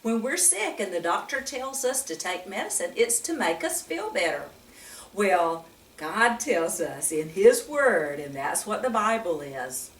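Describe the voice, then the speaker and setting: An older woman talking to young children as a storytime reader, in a gentle, teacherly manner full of questions to the listener. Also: medium pitch, a little hiss or hum. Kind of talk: storytime talk to young children with Bible lesson. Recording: roomy echo, distant microphone.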